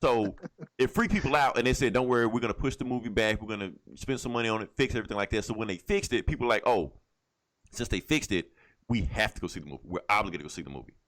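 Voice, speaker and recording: A man's voice: fairly low pitch, fast talker, fairly bright tone. A man co-hosting a podcast, talking casually and bluntly, with plenty of swearing and an irreverent manner. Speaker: podcast co-host. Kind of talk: casual, profane movie chat.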